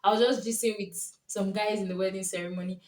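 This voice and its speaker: A woman's voice: mid-range voice, fairly even intonation, quick pace, darkish tone. A young Nigerian woman with a Nigerian accent, a Yoruba speaker, telling stories and giving casual relationship advice to camera, laughing often. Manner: chatty storytelling, often laughing. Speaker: vlogger and coach. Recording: roomy, from a distance.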